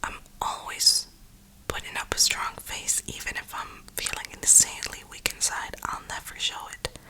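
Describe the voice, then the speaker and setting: A woman speaking in a soft, breathy whisper, giving an earnest, reflective, motivational ASMR ramble close to the microphone. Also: low-quality recording. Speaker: ASMR creator and gamer.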